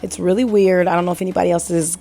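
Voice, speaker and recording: A woman in her thirties with a hoarse, gravelly voice from being sick, chatting casually over her home decorating video and asking viewers what they think. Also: on the low side, fairly even intonation, racing, mellow, soft background music. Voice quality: gravelly, husky. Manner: chatty, rambling, asks viewers questions. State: hoarse from illness.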